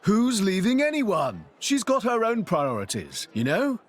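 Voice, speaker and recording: A man with a British accent playing a brash, blunt, colloquial young adventurer in a video game, his voice husky. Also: high-pitched, wildly swooping pitch, unhurried, heavily acted. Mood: casual.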